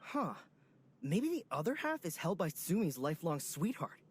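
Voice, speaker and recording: An actor playing a gossipy, lovesick young soldier who rambles theatrically, over game music. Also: male voice, on the high side, big pitch swings, normal speed, a little hiss or hum. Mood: dramatic.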